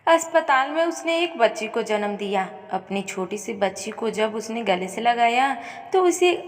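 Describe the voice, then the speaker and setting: Female storyteller, unhurried melodramatic read, higher register, reverberant recording. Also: expressive. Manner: melodramatic, reads speaker names before dialogue. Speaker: story narrator. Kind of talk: dramatic scripted story narration in Hindi. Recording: long reverb on voice.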